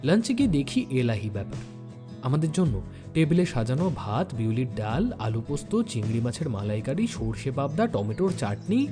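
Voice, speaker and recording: An actor playing a detective's observant young sidekick, narrating an audio story in a reflective, expressive way. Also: male voice, medium pitch, moderate pace, music in the background, lightly acted. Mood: impressed, amused.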